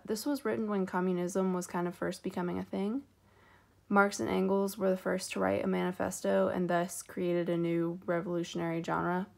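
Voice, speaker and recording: A young woman presents her class project in a gravelly voice with plenty of vocal fry. Her pitch sits in the middle. She hesitates and hedges as she goes, filling gaps with ums. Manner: hesitant, many ums and hedging words. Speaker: student presenter.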